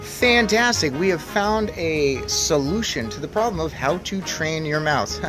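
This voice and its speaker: A man's voice: a little high, animated, measured pace, music in the background. A man reading aloud and chatting enthusiastically as host of a children's read-aloud. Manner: chatty and enthusiastic, putting questions to young listeners. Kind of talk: storybook read-aloud with casual commentary.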